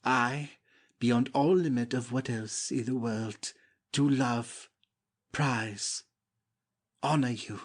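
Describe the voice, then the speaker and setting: A man acts a lovestruck young prince in a Shakespeare audiobook. His mid-pitched voice is husky and breathy around the edges, and he declaims his devotion with fervent, gallant humility. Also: fairly even intonation, takes it slowly, pauses often. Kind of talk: dramatic Shakespeare audiobook reading.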